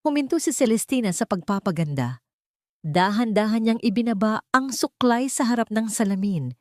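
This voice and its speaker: A woman's voice: fairly high pitch, animated, normal speed, darkish tone, no echo, studio quality. A woman narrating a dramatic first-person story in a tense, suspenseful way.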